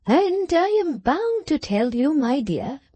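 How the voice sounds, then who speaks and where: A woman's voice: sits high, sing-song, slow pace, dark tone, no echo, voice pushed up, full character voice. A woman voicing a meek, timid middle-aged father who speaks hesitantly and submissively.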